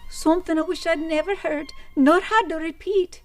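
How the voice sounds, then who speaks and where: A gossiping village neighbor, voiced by a woman, flustered and apologetic, always blurting the wrong thing. Her voice sits high, with soft music under the scene. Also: fairly even intonation, normal speed. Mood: urgent. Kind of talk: old-time radio drama acting.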